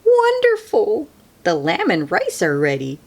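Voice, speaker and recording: A woman voicing a kindly, patient old grandmother in a children's storybook, gently instructive. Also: mid-range voice, huge pitch swings, a little slow, heavily acted. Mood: delighted.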